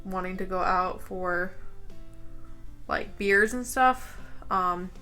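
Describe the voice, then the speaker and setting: A woman's voice: on the low side, takes it slowly, sharp tone, soft background music. A young American woman chatting casually while doing her makeup, rambling with lots of "like" and "um". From United States. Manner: chatty, rambling, heavy use of "like" and "um". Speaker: beauty vlogger. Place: home, indoors.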